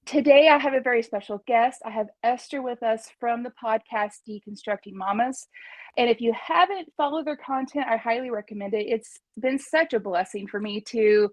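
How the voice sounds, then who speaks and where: A woman's voice: a little high, moderate pace, no room sound. A woman hosting a casual interview on her channel, speaking in a friendly, appreciative way.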